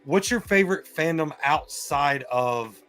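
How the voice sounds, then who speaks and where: A man's voice: a little high, expressive, a little slow, fairly bright tone. A man hosting a casual panel chat on a live stream in a jokey, rambling way. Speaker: podcast host.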